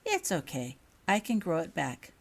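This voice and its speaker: Lady reading as a shy curious little schoolgirl, kinda halting and unsure, storybook. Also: lowish voice, wildly swooping pitch, gravelly, heavily acted. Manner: hesitant, halting. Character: timid, curious young schoolgirl.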